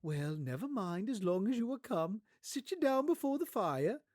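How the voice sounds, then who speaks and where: A man voicing an affectionate, excitable working-class mother who fusses and exclaims, in a storybook performance. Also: high voice, moderate pace, dry recording, clean studio sound, pitched above the performer's own voice. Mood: fussing, affectionate. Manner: fussing, exclamatory. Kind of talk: theatrical audiobook character acting.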